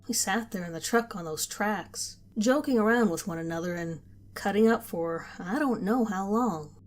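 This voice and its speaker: A woman narrating a first-person horror story in a calm, measured storytelling manner. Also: medium pitch. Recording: faint background music.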